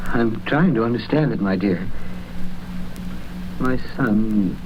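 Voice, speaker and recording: Kind sad dad in old radio drama, soft earnest, muffled with a bit of hiss. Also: male voice, on the low side, takes it slowly. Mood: subdued grief. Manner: gentle, subdued, earnest. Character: meek, kindly, grieving father. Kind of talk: 1930s radio drama acting. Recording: muffled old radio broadcast, light noise.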